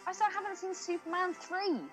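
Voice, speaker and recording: A woman's voice: extremely high pitch, steady tone, fast talker, fairly bright tone, soft background music. A woman co-hosting a podcast with casual, teasing banter and frequent swearing. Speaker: podcast co-host.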